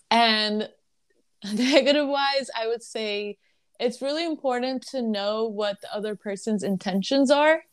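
A woman in her twenties with a Lebanese accent, a podcast guest chatting casually and laughing between phrases. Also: mid-range voice. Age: 20s. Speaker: guest, content creator. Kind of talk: casual conversational interview.

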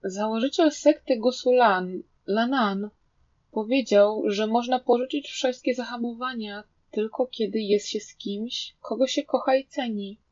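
Woman reading as a soft, halting, bashful boy. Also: fairly high pitch, dull audio. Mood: bashful, hesitant. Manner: hesitant, soft-spoken, halting. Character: polite, bashful, careful teenage boy disciple.

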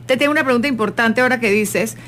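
A woman's voice: on the high side, a little hiss or hum. Chatty woman hosting a radio show. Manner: chatty and expressive, with quick asides. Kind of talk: casual motivational radio talk.

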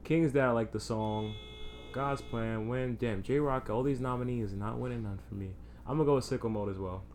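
A man's voice: normal speed, a little mellow. Laid-back young man, faint room noise. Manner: laid-back and low-key, but firm when he defends his team. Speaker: podcast co-host. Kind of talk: casual chat.

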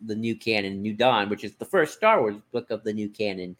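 A podcast co-host in casual discussion, speaking in a hesitant, halting way as if thinking aloud. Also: mid-range voice, expressive. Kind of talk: casual discussion of franchise books and comics.

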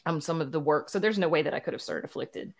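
A woman's voice: sits low, fast talker, gravelly. A woman speaking as a podcast guest in a casual interview, candidly explaining things and often saying "you know". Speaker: podcast guest, audio drama producer.

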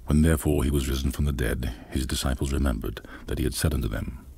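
A man reading scripture aloud in a solemn, deliberate manner. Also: extremely low pitch, creaky, a little mellow, breathy edge. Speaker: Bible reader.

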